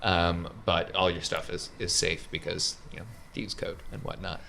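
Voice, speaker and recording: A man's voice: sits low, steady tone, normal speed, vocal fry, pushed and bright, a little hiss or hum. A man talking casually as the game master of a tabletop roleplaying session, describing scenes to the players.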